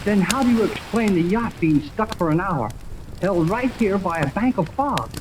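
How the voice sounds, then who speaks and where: A woman playing an eager, excitable boy sidekick in a 1930s radio adventure serial, with earnest exclamations. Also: mid-range voice, moderate pace, natural voice. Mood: eager, insistent. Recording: noisy old 1930s radio recording.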